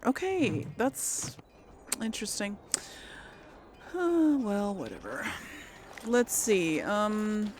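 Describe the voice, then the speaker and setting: A woman's voice: mid-range voice, slight background noise. A woman hosting a casual gaming video, chatting and thinking aloud as she role-plays. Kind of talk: casual role-play game commentary.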